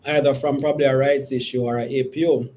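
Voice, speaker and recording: A man, speaking as a company executive answering investor questions in a measured, hesitant, careful way. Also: medium pitch. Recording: steady background noise.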